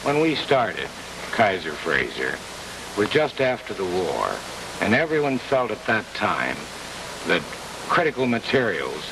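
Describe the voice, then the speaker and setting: An American man answering as an executive guest in a 1950s television interview, in earnest, measured short phrases. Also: lowish voice. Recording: distant, noisy 1950s television broadcast.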